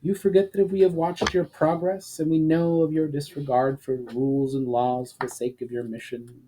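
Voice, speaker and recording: A man voicing a calm, regretful elf woman with a formal, measured way of speaking in a fantasy story reading. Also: on the high side, slight background noise, lightly acted, rough recording.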